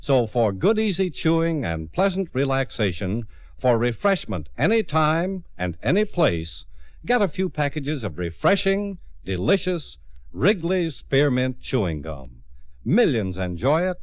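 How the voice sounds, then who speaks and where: A man announces in the style of a 1950s radio commercial. His delivery is a smooth sales pitch with lively rises and falls. The sound is close and dry, on a muffled old radio recording. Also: measured pace, no room sound.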